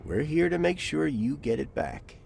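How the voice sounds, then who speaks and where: A man's voice: fairly high pitch, lively intonation, normal speed, vocal fry, a little mellow, a little hiss or hum. An actor playing a cocky, slippery male henchman in a video game, with a folksy, slangy delivery. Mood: smug. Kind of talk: colloquial video game character acting.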